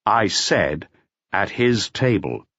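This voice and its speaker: Man as a low, terse, weary detective. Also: very animated, takes it slowly, fairly bright tone, dry recording, dull audio, exaggerated voice. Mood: insistent. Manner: terse, imperturbable. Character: stubborn, weary, terse male detective chief.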